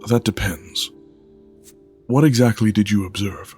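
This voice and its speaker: A man playing a weary, reserved alien in an audio drama, speaking huskily in a formal, halting way. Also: on the low side, darkish tone, faint music behind, close to an everyday voice. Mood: grave, confiding.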